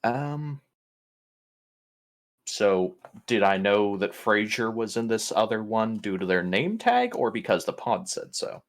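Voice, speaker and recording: A man's voice: on the low side, big pitch swings, slow. A man chatting casually as a player at a tabletop roleplaying game.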